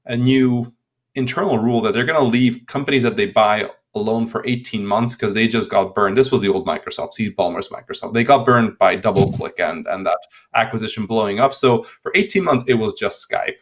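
A man's voice: fairly low pitch, fairly quick. A man in his thirties from Hungary with a Hungarian accent, answering interview questions over a video call, often repeating words as he restarts sentences. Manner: frequent word repetitions and restarts. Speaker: guest speaker. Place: home room. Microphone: laptop webcam video call.

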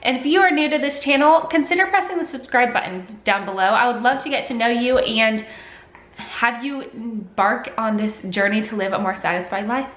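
A woman's voice: on the high side, normal speed, a bit bright. A woman in her twenties, sniffly with a cold, chatting to the camera as a lifestyle vlogger from her home kitchen. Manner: chatty, gestures a lot with her hands. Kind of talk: casual talk to camera. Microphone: camera at desk distance. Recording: echoey kitchen.

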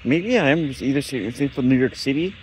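A man's voice: mid-range voice, animated, fast, a little hiss or hum, low-quality recording. A man giving casual, off-the-cuff commentary as a radio hobbyist, thinking aloud in a tentative way.

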